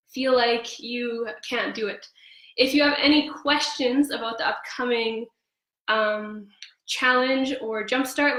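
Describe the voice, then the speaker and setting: Young woman a bit hoarse, bright voice, chatty live stream, echoey room. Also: on the high side. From Canada. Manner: chatty, relaxed, frequent ums. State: slightly hoarse. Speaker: host of a cooking livestream. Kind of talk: casual live stream chat.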